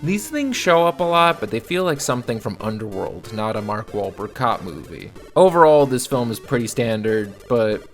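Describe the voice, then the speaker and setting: A man giving scripted film review commentary in a blunt, exasperated, sardonic way, with a gravelly creak. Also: mid-range voice, sing-song, normal speed, few pauses, soft background music. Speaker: video reviewer.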